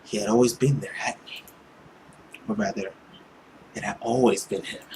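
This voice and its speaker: A man reading a visual novel as narrator, in a hushed, deliberate, theatrical way. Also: mid-range voice, steady tone, a little hiss or hum, rough recording.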